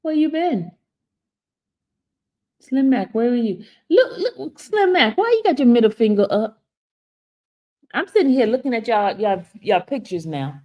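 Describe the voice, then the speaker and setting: A woman's voice: fairly high pitch, sing-song, measured pace, long pauses, a little mellow. A woman in her fifties hosting a casual live stream, teasing viewers by name and talking earnestly about her stress. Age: 50s. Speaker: livestream host reading viewer comments.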